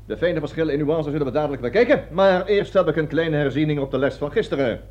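A man's voice: fairly high pitch, quick pace, darkish tone, close to an everyday voice. An actor playing a bossy, pompous army colonel who lectures his troops in a satirical radio play. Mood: lecturing, brisk. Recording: dull lo-fi old radio recording.

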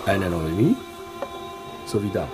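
An actor playing a polite, familiar, teasing bartender in a radio drama, with brief, understated remarks. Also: male voice, sits low, animated, fairly quick. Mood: quietly teasing. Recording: music around the voice.

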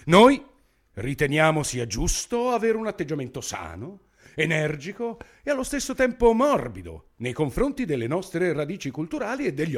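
Pompous, menacing government minister, wildly swooping delivery. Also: male voice, a little high. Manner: grandiloquent, emphatic declamation. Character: pompous, menacing authoritarian male government minister, smugly brutal. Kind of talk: theatrical satirical radio play acting.

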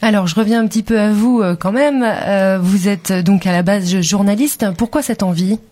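A woman hosting a radio interview program, in an easy conversational manner. Her voice sits at an average middle pitch. She introduces her guest and leads the conversation with questions. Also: moderate pace, a little mellow. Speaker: podcast host, interviewer.

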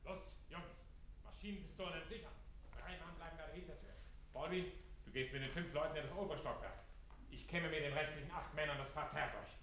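Heard in a very echoey room, a man acting as a gang boss speaks fairly high and commanding. He is ruthless, in vintage radio-drama style, with faint noise behind him. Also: fairly quick, a bit bright, close to an everyday voice. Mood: barking orders.

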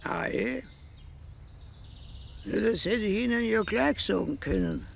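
Old Austrian village grumbler, high cracked voice, slow rustic storyteller, slight background noise. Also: male voice, expressive, vocal fry, exaggerated voice. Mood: matter-of-fact. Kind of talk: radio play acting in dialect.

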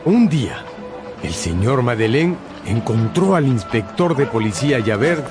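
A man's voice: medium pitch, sing-song, a little slow, music in the background. A man narrating a radio drama as a storyteller, with dramatic emphasis.

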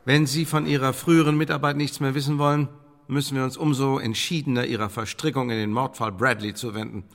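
Pompous, smoothly menacing spymaster, reverberant. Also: male voice, medium pitch, fairly bright tone. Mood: coolly threatening. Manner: formal, pompous, ornate officialese with veiled threats. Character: smooth, menacing English secret service chief, Elizabethan era. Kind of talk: German radio drama acting. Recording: studio radio play with long reverb.

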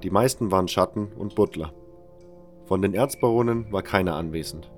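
A man with a fairly low voice narrates a fantasy audio drama in the first person. His delivery is measured and sober, with faint music underneath.

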